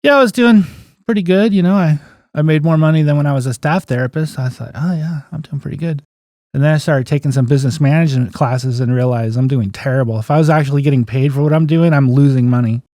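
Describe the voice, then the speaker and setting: A man's voice: on the high side, fast, warm tone, no room sound, studio quality. A man in his fifties telling stories casually as an interview guest, in a folksy, plain-spoken way, often quoting remembered conversations. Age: 50s. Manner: anecdotal, acts out remembered dialogue, folksy plain-spoken phrasing. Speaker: guest, physical therapist and clinic owner.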